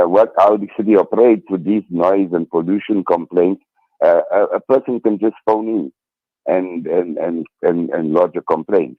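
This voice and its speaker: A man's voice: deep voice, no echo. A man speaking earnestly as an interview guest over the phone, often repeating his words and phrases. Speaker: interview guest, local community representative. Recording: tinny narrow-band phone line.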